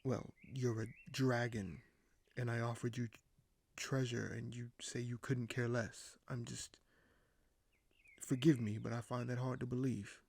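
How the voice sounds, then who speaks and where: An actor playing a scheming, courteous young king in an audio roleplay, speaking formally and hesitantly with deliberate pauses. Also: mid-range voice, level tone, gravelly, darkish tone, slightly rough. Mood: confused. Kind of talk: audio roleplay acting, addressed to the listener.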